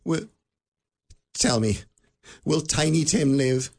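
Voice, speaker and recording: An actor playing a shaken old miser in a radio play, pleading anxiously. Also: male voice, a little high, slow, creaky, a little mellow, slightly rough, heavily acted. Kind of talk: radio drama acting.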